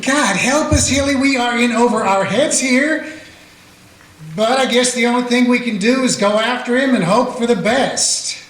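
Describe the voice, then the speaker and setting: A man's voice: extremely high pitch, moderate pace, a bit bright, slight background noise. A man playing a plain-spoken old-west cowboy who takes charge, in a community radio play. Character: steady frontier cowboy man who leads the chase. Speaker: narrator and lead character. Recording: echoey room with guitar music.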